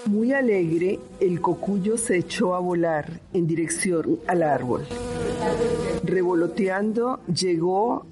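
Slow-telling woman narrating a story. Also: fairly low pitch, expressive, crowd noise. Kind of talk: radio play narration.

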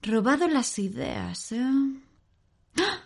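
An actress from playing a spirited, teasing feminist heroine in an audio fiction. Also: female voice, on the high side, sing-song, takes it slowly, gravelly, breathy edge, full character voice. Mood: mocking. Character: sardonic, spirited feminist heroine of an unfinished story.

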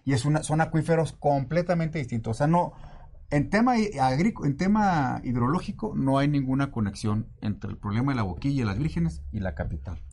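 Un hombre de la Ciudad de México con acento mexicano, entrevistado como director de una junta de aguas, que explica con calma y se ríe con facilidad. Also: male voice, mid-range voice, brisk, slightly rough. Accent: Mexican Spanish. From Mexico City. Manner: relaxed, explains at length, laughs easily. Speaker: guest, water utility director. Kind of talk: casual interview.

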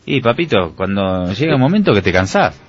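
A man's voice: on the low side, big pitch swings, fairly quick. A man in his thirties with an Argentine accent, co-hosting a radio show in a casual, joking, opinionated way. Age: 30s. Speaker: radio co-host. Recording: dull lo-fi radio with faint music.

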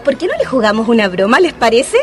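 A woman doing a sly young woman plotting payback, high voice, radio play over faint music. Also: very animated, quick pace. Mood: scheming. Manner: scheming, pointed. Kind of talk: community radio drama acting.

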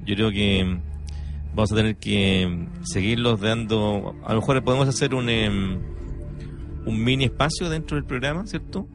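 A man's voice: on the low side, normal speed. A man hosting a casual radio chat, often stopping to search for his words. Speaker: radio host. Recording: music under the talk.

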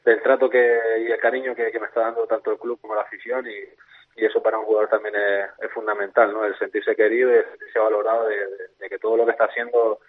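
Footballer, Canarian accent, lowish voice, over a phone line; halting, repeats himself. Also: male voice, little pitch movement. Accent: Canarian Spanish, a little softened. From Canary Islands. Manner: hesitant, repeats words, many fillers and tag questions. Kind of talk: phone interview. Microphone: telephone.

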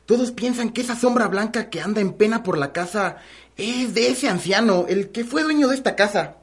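A woman's voice: mid-range voice, animated, moderate pace, husky. A woman with a Mexican accent playing a superstitious, gossipy household servant in a melodramatic radio soap opera. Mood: confiding.

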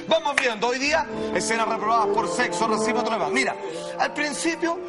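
A man with a Chilean accent presenting and commenting excitedly as a TV show host, emphatic, dramatic and repetitive. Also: extremely high pitch. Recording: loud music bed under voice.

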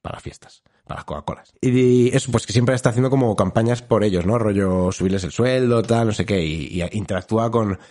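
A man's voice: on the low side, brisk, hardly any pauses, a little mellow. A man with a Spain Spanish accent co-hosting a casual podcast, telling a rambling, jokey story and laughing often. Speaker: podcast co-host. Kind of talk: casual chat.

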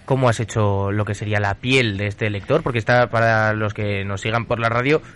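A man's voice: on the low side, steady tone, brisk, fairly bright tone, a little hiss or hum. A man with an accent from Spain, working as a radio interviewer in a casual, chatty style.

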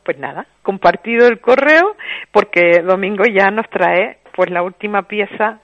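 A woman's voice: mid-range voice, measured pace. A female radio host talks through her segment, often stumbling and correcting herself mid-word. The sound is thin and boxed in, as over a phone line. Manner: frequent restarts and self-corrections. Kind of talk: radio talk and reading.

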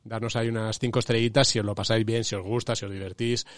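A man's voice: lowish voice, flat delivery, dry recording. A man with a Spanish accent hosting a casual finance podcast, joking and laughing often with his co-host. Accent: Spanish from Spain. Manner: jokey, laughs often, quick banter. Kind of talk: casual chat.

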